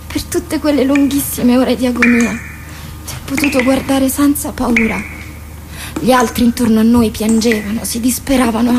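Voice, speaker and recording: An actress playing a protective, jealous dead woman in a radio drama, pleading and reproachful, with intense theatrical delivery. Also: sits high. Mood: tender, intimate. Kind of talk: Italian radio drama acting. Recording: clearly noisy radio drama recording.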